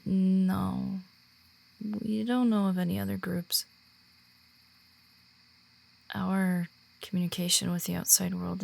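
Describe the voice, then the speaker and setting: A woman's voice: medium pitch, vocal fry, a little mellow. A woman voicing a friendly female werewolf who guides and reassures a new pack member in a confiding roleplay audio. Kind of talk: roleplay audio drama in ASMR manner.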